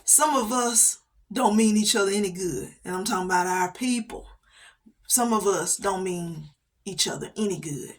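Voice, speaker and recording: A woman giving a motivational faith talk, preaching with repeated phrases and soft laughs between points. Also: mid-range voice, normal speed. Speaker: inspirational speaker.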